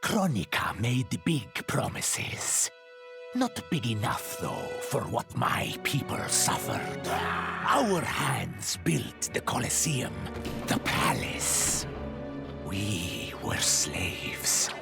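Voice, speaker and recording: An actor playing a greedy alien thief who has become a conqueror, with a gravelly voice and hissing whispers that break into shouts. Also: male voice, mid-range voice, wildly swooping pitch, drawn-out words, heavily acted. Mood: bitter. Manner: scheming, swings from whisper to shout. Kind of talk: game cutscene voice acting. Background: game score music.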